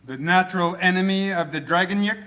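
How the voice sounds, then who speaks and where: A voice actor playing an excitable grown man who gushes about a fantasy show. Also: sits high, a little hiss or hum. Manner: eager and gushing, rushing his words. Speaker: voice actor at a table read.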